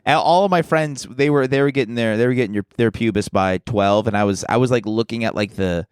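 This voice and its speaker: A man's voice: mid-range voice, brisk, clean tone, no echo, studio quality. A man chatting casually as a comedy podcast co-host, with a slangy, crude, joking manner.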